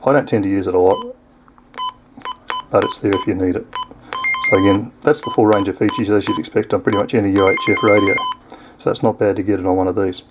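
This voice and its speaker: A man's voice: on the low side, expressive, fast talker, pauses often, noisy background. A man with an Australian accent giving a casual, unscripted product demonstration in an easygoing, explanatory way. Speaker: product reviewer.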